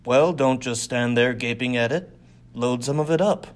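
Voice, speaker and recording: A man's voice: mid-range voice, normal speed, lightly acted. Man playing a curt, exasperated space outlaw. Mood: commanding. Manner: curt, snappy, commanding. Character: cocky, exasperated male space-adventurer outlaw. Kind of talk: audiobook character voice.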